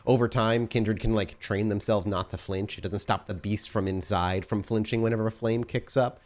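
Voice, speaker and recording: Storyteller, male, low-pitched, relaxed and explanatory. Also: moderate pace. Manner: relaxed and explanatory, with frequent fillers. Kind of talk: narrating a tabletop horror game.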